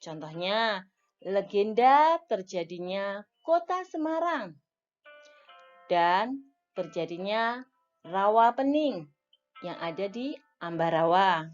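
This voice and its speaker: A woman teacher explaining a lesson to young schoolchildren, counting off points one by one in a clear, deliberate way. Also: medium pitch, expressive. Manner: slow, clear teacherly explaining with list-like enumeration. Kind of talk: recorded classroom lesson for young children. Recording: dull lo-fi audio with faint music.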